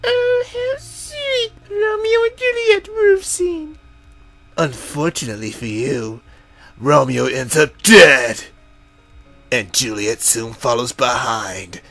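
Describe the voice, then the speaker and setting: A man acts out teasing young women in a visual novel. The delivery is playful and exaggerated, lifted well above a natural low register. Music runs underneath. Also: sing-song, slow, fairly bright tone, heavily acted. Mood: mock swooning. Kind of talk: character reading in a visual novel playthrough.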